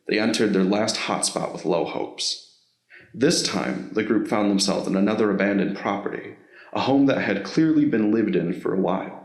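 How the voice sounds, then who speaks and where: A man narrates a scripted story in a low voice. The recording is roomy, with clear echo around his words. He reads as the narrator of an audio drama. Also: darkish tone.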